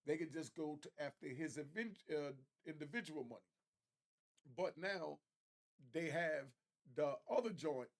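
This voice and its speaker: Male reaction commentator, slightly high and creaky voice, casual streetwise slang. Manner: slangy, streetwise, explaining in plain terms.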